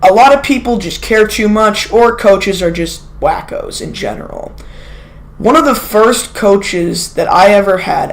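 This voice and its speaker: A teenager, sick and sniffling, tells a personal story in a casual, rambling way, full of asides and self-corrections. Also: mid-range voice. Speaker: vlogger telling a personal story.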